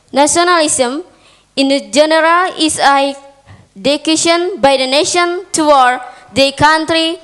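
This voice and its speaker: High-voiced Indonesian schoolgirl giving a formal address. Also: fairly bright tone. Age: teens. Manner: formal, measured reading of a prepared speech. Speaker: government whip, student debater. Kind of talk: formal debate speech.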